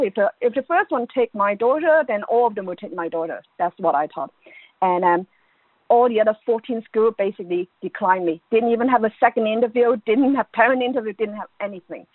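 A woman's voice: medium pitch, no room sound. Chatty woman on a thin phone-like line. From born in Hong Kong, grew up in Ireland. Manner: informal, chatty, peppers talk with "you know". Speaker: podcast guest, academic. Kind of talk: conversational interview, personal anecdotes.

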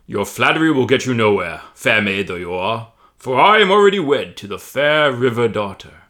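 A man voicing a huge, vain, jolly forest man who booms and sings his lines in a comic audio story. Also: sing-song, moderate pace, pushed and bright. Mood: boastful. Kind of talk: comic audio fan fiction.

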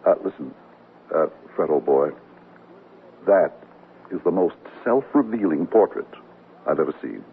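An actor playing a suave, possessive former suitor in a 1970s radio drama, insinuating and pausing as he talks. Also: low voice, expressive, vocal fry, exaggerated voice. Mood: smug, admiring. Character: Tom, smooth, possessive old flame of a married woman. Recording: muffled, noisy old radio broadcast.

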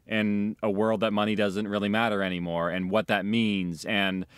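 A man's voice: lowish voice, flat delivery, normal speed, clean tone, no room sound. A man speaking in a documentary interview as a writer, director and creator of audio drama. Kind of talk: documentary interview about their own work.

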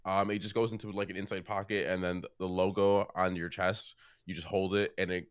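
Brief: young male co-host, lowish voice, a bit hoarse, relaxed and rambling, dry close mic. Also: brisk, no room sound. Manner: relaxed, rambling, laughs often. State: starting to lose his voice. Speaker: podcast co-host. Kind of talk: casual chat.

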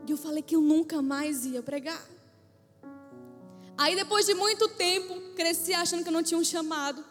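A woman's voice: very high voice, normal speed, a bit bright, big-room sound, faint music behind. A woman preacher telling a humorous personal testimony to a church audience, acting out the voices she quotes. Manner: playful storytelling, acts out quoted voices.

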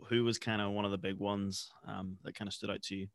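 Male podcast host, low voice, dry close sound, rambling questions. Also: little pitch movement, quick pace, creaky, no echo. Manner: soft back-channel murmurs, rambling questions. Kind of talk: podcast interview.

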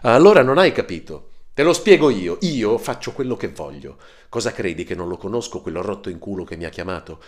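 Loud arrogant young bully, pitched high and shouting, read by a male narrator. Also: lively intonation, moderate pace. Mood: yelling. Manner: shouting, vulgar, aggressive. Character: arrogant hot-headed young man, yelling insults. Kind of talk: audiobook character reading.

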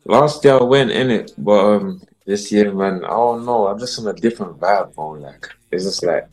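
Low-voiced South London man, slangy. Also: normal speed, breathy edge, faint music behind, rough recording. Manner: mellow, mumbly, full of street slang. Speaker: guest musician. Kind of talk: casual interview chat.

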